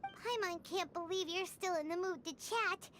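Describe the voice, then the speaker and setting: Squeaky, childlike, fretful fairy girl. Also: moderate pace, slight background noise. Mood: anxious, scolding. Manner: squeaky, excitable, refers to self by name. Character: tiny, childlike, fretful female fairy companion. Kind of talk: scripted video game dialogue.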